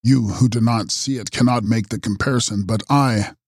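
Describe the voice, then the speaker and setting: A man voicing a mysterious, ageless male count who makes grave, measured prophecies in an audiobook. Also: mid-range voice, steady tone, no room sound, studio quality, natural voice. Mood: lamenting. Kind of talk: audiobook character reading.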